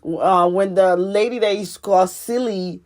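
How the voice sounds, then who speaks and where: A woman's voice: mid-range voice, steady tone, measured pace, a little mellow. A woman from Haiti with a Haitian accent, giving a prophetic teaching monologue as a spiritual teacher, earnest and repetitive, often restarting her phrases. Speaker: spiritual teacher and life coach.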